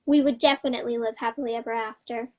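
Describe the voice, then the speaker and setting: Romantic young heroine played by a woman, high light voice, eager and dreamy, children's stage play. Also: fairly even intonation, fast, close to an everyday voice. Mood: wistful. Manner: earnest, wistful. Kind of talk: staged reading of children's theater.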